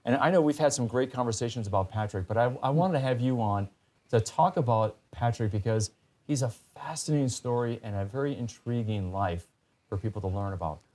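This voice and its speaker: A man's voice: mid-range voice, a little fast, a little mellow. A man hosting a talk show in a conversational, enthusiastic and emphatic way.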